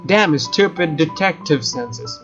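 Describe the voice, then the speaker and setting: Male let's player, fairly high voice, expressive story narration with casual asides, loud music behind. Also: measured pace. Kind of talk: reading a visual novel aloud with casual asides. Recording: muffled sound under loud music. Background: loud game music.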